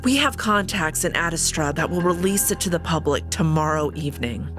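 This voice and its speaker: A woman voicing a calm, stoic female wolf who is sister to the emperor, speaking in a measured way. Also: sits low, over music. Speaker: guest voice actor.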